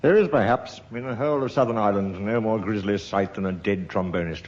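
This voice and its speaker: A man's voice: lowish voice, fairly quick. A man, a comedy panellist, spinning an improvised comic story. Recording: lo-fi radio recording with clear noise.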